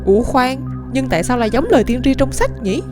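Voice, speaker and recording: A woman's voice: high voice, lively intonation, fast talker. A woman voicing a talking rooster scientist in a cartoon, secretive and earnest, with a southern Vietnamese accent and an explanatory, dramatic delivery. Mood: suspicious, musing. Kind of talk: animated cartoon dialogue. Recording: music behind the voice.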